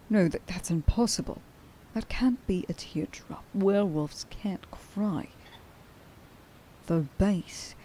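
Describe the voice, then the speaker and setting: A woman playing a stern, grieving huntress in a dramatic ASMR roleplay monologue, halting and intense in her delivery. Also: low voice, mellow, a little hiss or hum. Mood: disbelieving, unsettled, then cold, menacing. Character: stern, grieving young huntress who hates werewolves.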